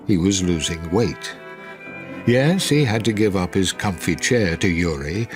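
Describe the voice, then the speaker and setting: A man narrating a radio drama in a scripted storytelling style. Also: fairly low pitch. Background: music with violin.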